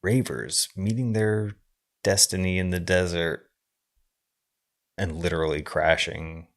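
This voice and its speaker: A man's voice: deep voice, measured pace. A man co-hosting a podcast in casual conversation about films. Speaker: podcast co-host discussing films. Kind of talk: casual film discussion.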